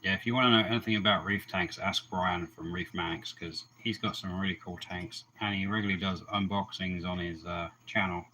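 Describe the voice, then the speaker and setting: Man, very low voice, relaxed teasing co-host on a home stream. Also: brisk, few pauses, a little hiss or hum, low-quality recording. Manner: easygoing, teasing, repeats words when starting. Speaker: co-host of an aquarium livestream. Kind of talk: casual chat.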